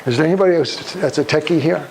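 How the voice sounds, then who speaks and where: An elderly man from Poland giving a public talk, speaking deliberately in halting phrases and putting questions to his listeners. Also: on the high side, a little mellow. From Krakow, Poland. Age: over 75. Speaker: guest speaker, Holocaust survivor. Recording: noisy live room recording.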